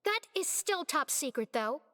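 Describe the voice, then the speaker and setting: A woman's voice: sits high, sing-song, normal speed, pushed and bright, full character voice. An actress playing a fussy, easily flustered young woman assistant in a video game. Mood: playful.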